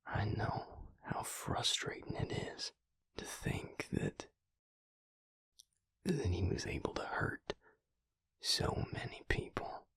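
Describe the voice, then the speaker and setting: A man playing a protective, brooding vampire lover in an intimate audio roleplay, with a husky, breathy voice and a hushed, intense, deliberate delivery. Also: dry recording, full character voice. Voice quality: husky, breathy, gravelly low voice. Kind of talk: intimate audio roleplay acting, speaking to the listener.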